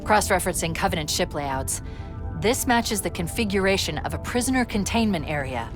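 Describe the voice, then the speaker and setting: Brisk, precise female military AI voiced by a woman. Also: lowish voice, lively intonation, music in the background, lightly acted. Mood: focused. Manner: precise, articulate, analytical. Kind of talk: video game cutscene voice acting.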